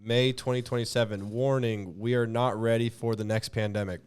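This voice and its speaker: A man's voice: mid-range voice, fairly even intonation, normal speed, a little mellow, clear, resonant tone. A man co-hosting a podcast, chatting casually with joking, sarcastic swearing and reading posts aloud. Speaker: podcast co-host.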